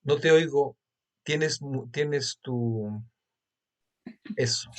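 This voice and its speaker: A man's voice: medium pitch, drawn-out words. A man with a Mexican accent hosting a casual religious interview over a video call. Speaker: host.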